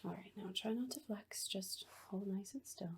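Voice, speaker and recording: A woman playing a sinister asylum nurse in a horror ASMR roleplay, whispering in a breathy, coaxing, falsely reassuring way. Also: medium pitch, moderate pace, slight background noise, close to an everyday voice. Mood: soothing, clinical. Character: sinister asylum nurse, soothing on the surface, quietly menacing.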